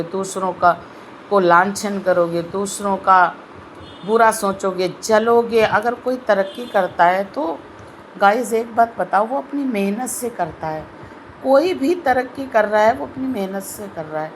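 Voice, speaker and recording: Woman, sore throat, slow and preachy devotional talk, faint room hum behind. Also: medium pitch, low-quality recording. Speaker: spiritual video host.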